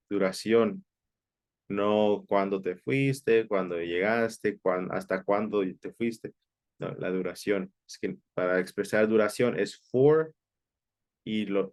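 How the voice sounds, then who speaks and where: A man's voice: on the low side, darkish tone. A man teaching an online English class, patiently repeating key phrases and sometimes explaining in Spanish. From Mexico.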